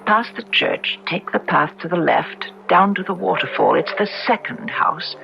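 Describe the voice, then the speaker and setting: An actress playing a mysterious, lovelorn ghost woman in an old radio horror play, speaking slowly and cryptically with eerie patience. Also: fairly low pitch, lively intonation, gravelly, slight background noise. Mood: haunting, wistful. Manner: slow, cryptic, drawn-out delivery. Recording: muffled vintage radio broadcast recording. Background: dramatic background music.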